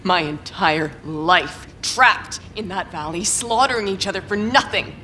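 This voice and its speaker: An actress playing an intense, wounded woman survivor in a video game cutscene, speaking with deliberate, emotionally charged weight. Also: on the low side, sharp tone. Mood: bitter accusation. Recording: music under the voice.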